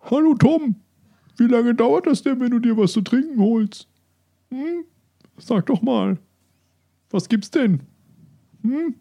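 A man putting on a mock-impatient nagging character, talking in a slow sing-song as if chiding a friend. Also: very high-pitched, animated, gravelly, dark tone, pitched above the performer's own voice, heavily acted.

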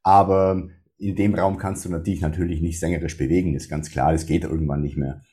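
Deep-voiced man, faint Swabian accent. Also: fairly even intonation, warm tone. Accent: slight Swabian. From Swabia, Germany. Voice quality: deep bass-baritone. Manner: measured, with frequent restarts and fillers. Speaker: funeral speaker. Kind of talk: casual interview talk.

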